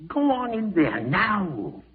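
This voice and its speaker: On a tinny old radio recording, a domineering, suspicious schemer. His rough voice swings in pitch as he snaps curt orders and probing questions. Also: wildly swooping pitch, slow, full character voice. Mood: bossy, menacing. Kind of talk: 1940s radio horror acting. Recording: tinny vintage radio broadcast.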